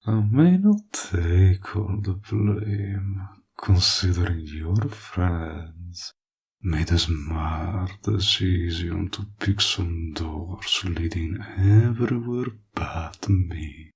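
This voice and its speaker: Very deep, gravelly villain played by a man. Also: full character voice. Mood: possessive, smug. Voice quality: gravelly, husky, breathy. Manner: slow, teasing, drops into whispers. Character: smug, possessive male villain, flirtatious and menacing. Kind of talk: roleplay audio acting, intimate monologue.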